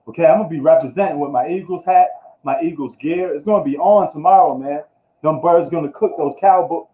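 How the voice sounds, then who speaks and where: A man from New York giving a casual, slangy live recap of the football week, ranting loosely as he goes. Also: fairly high pitch. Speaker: sports streamer. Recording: echoey room, dull lo-fi live stream.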